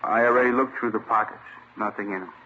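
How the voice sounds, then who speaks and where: An actor playing a helpful, chatty shopkeeper who witnessed a robbery, in a 1950s radio drama, eager and plain-spoken. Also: male voice, on the low side, fairly even intonation, fast talker, pushed and bright. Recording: dull old radio recording, faint hiss.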